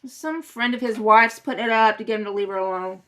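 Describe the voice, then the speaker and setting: A woman's voice: fairly high pitch, steady tone, fairly quick, a bit bright, voice pushed down, lightly acted. A woman playing a weary, defiant nightclub singer and gangster's moll in a radio crime drama, with curt, slangy retorts. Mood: sullen. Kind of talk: old-time radio crime drama acting. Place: indoors at a kitchen table.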